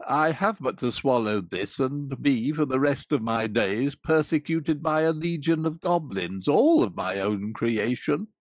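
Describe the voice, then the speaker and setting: Woman doing a low gruff old miser, fearful and stubborn, slow, dry sound. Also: animated, darkish tone, no echo, exaggerated voice. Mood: scoffing. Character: frightened old miserly man, stubborn then pleading.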